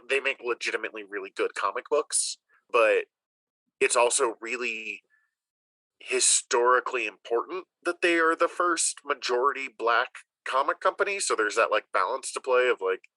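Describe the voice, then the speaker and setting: A man's voice: mid-range voice, pushed and bright, no echo, rough recording. A man hosting a podcast, casually and enthusiastically recommending things, with some swearing. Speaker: podcast host. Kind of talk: casual chat, giving recommendations.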